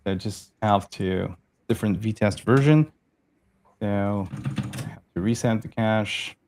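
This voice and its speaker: Halting man demoing code. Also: lowish voice, a little mellow, a little hiss or hum. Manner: halting, repeats words while working on screen. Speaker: guest developer. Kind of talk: casual tech chat while demoing code.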